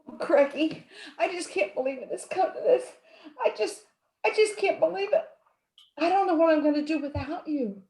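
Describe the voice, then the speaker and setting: Echoey high-voiced scheming moll played by a woman. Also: expressive, moderate pace, warm tone. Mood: mock heartbroken. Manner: cooing, melodramatic. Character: scheming femme fatale moll, sugary and two-faced. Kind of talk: 1940s noir radio acting, live community theatre. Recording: roomy, echoing live stage recording.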